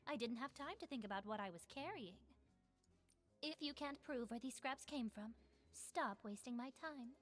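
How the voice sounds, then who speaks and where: High voiced snippy bar owner lady from a video game, woman acting, haughty, defiant, dodges everything. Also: moderate pace, soft background music, lightly acted. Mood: defiant, dismissive. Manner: haughty, dismissive, curt. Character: defiant, evasive female bar owner accused of theft.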